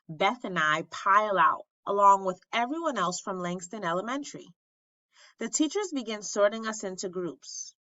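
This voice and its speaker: A woman reads a children's story aloud expressively, with dramatic pauses and emphasis on key phrases. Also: medium pitch, sharp tone. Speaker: storybook reader at an elementary school. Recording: roomy, slightly dull lo-fi recording.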